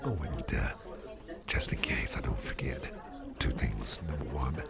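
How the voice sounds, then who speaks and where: A man playing a friendly, rambling boss in an ASMR roleplay, chatting personally to the listener in a deep, gravelly voice. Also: full character voice. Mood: warm, flirty. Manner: rambling, chummy, halting, repeats phrases. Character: friendly, rambling male boss at a Halloween party. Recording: music playing behind the voice.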